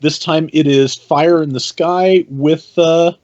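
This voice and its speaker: A man's voice: a little high, steady tone, slow pace, a little mellow, dull audio. A man on a podcast, casually talking through news items as one of the panel. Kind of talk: casual news rundown and chat.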